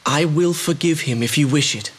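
An actor playing an earnest young prince turned into a rabbit creature, speaking resolutely and tenderly. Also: male voice, a little high, normal speed, long reverb tail, a little hiss or hum, natural voice. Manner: earnest, resolute, gently pleading.

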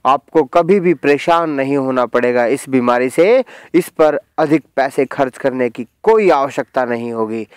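A man's voice: mid-range voice, animated, measured pace, smooth, no room sound. A man giving an instructional voice-over as a presenter, in an emphatic manner that repeats phrases for stress. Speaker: presenter of home remedy tutorial.

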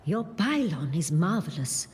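Lilting, precise scientist. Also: female voice, on the low side, very animated, measured pace, a little mellow, husky, full character voice. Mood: admiring, thoughtful. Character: precise, courteous, earnest female scientist. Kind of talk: video game character dialogue. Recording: reverberant hall, faint game ambience.

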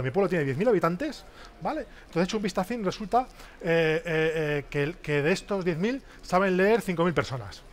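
Man, thirties, workshop speaker, lively and questioning, slightly high voice, headset mic. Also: a little hiss or hum. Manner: lively, questioning the audience, many tag questions. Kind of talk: interactive business workshop talk. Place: large exhibition hall, small stage.

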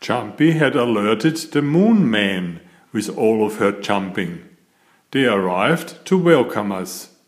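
A man reading a children's picture book aloud in a deliberate, expressive storytelling manner. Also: lowish voice. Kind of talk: scripted children's storybook narration.

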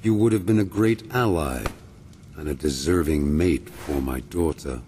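An actor playing a grandiose, ancient villain mastermind in a video game, speaking in pompous, measured, formal oratory. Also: male voice, a bass voice, mellow, slight background noise. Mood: regretful, formal. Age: older.

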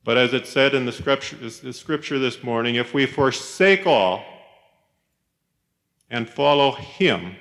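A man, a professor, giving a reflective, sermon-like chapel talk in a measured storytelling manner, with pauses. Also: mid-range voice, a bit bright. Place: large chapel, speaking to a congregation. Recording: reverberant chapel hall, 1990s cassette tape.

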